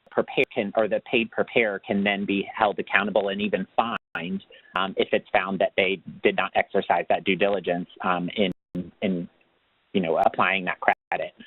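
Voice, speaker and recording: A man with a lowish voice explains things informally as a webinar panelist. He repeats words and phrases haltingly. The sound is thin and phone-like, with no room echo. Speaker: tax program manager speaking as panelist. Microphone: telephone or conference call line. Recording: tinny narrowband phone line.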